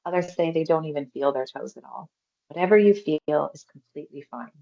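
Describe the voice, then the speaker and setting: A woman leading a guided mindfulness exercise in a gentle, unhurried, reassuring manner, pausing between short phrases. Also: sits low, creaky, dull audio. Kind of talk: guided meditation, body scan instruction.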